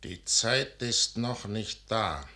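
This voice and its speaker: An actor playing a proud, secretive commander who trusts the stars, declaiming classical verse grandly and deliberately in a 1955 radio drama. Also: male voice, on the low side, lively intonation, sharp tone, full character voice. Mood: lofty, mystical. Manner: grand declamatory delivery, deliberate emphatic phrasing. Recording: 1955 radio studio recording.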